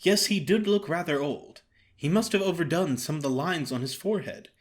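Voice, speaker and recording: A man narrating a story in a theatrical, formal and expressive way, as an actor performing an audio drama. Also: fairly high pitch, brisk.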